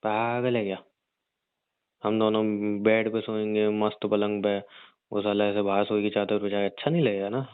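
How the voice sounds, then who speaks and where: A man playing a rough young gangster husband in an audio drama, crude and teasing yet protective, talking in slang. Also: on the low side, level tone, moderate pace, no room sound, lightly acted. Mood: teasing, annoyed. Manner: slangy, crude, mild swearing. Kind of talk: audio roleplay drama, conversational. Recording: narrow phone-like dry sound.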